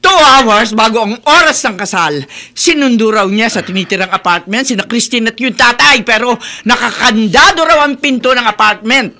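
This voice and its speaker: A woman's voice: fairly high pitch, lively intonation, moderate pace, bright, forceful tone. A woman playing a disapproving, domineering middle-aged mother in a radio soap, scolding in Tagalog mixed with English. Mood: stern. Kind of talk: Philippine radio soap opera acting.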